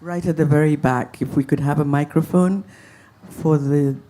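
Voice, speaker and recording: A man moderating a festival Q&A, a playwright himself, speaking in a measured, gently wry way. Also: fairly high pitch, steady tone. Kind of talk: reflective panel talk.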